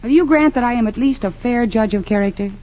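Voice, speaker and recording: Woman acting a female defense attorney, American, high voice, earnest, assertive, vintage radio drama, muffled lo-fi recording. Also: fast, natural voice. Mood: insistent. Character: persistent, quick-witted female defense attorney.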